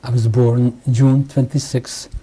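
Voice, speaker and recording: A man in his sixties from Hungary, giving oral history testimony in a halting way, with many restarts and pauses. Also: medium pitch, flat delivery, dark tone, slightly rough, poor audio. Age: 60s. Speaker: interviewee. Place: home interview.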